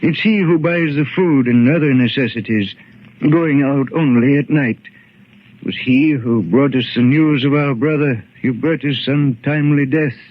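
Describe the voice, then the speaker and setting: An actor playing a courteous, reclusive older Victorian gentleman in 1940s radio drama, with formal, hesitant phrasing. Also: medium pitch, moderate pace, natural voice. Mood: confiding. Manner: formal, polite, hesitant old-fashioned phrasing. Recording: tinny, narrow 1940s radio recording.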